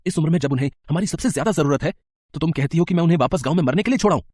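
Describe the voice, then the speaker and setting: A man voicing an earnest, emphatic young married son in an animated storybook tale. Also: a little high, rapid-fire, no echo, clean studio sound. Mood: angry.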